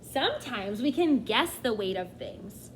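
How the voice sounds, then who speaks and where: A woman's voice: fairly high pitch, very animated, unhurried, a little hiss or hum. A woman teacher reading a nonfiction book aloud to children, expressively, often addressing them directly with questions and asides.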